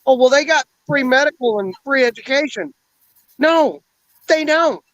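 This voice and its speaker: A man's voice: extremely high pitch, lively intonation, normal speed, crowd noise. A man in his forties in a casual, blunt and profane chat, rambling as he talks. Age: 40s. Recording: dull lo-fi dry sound.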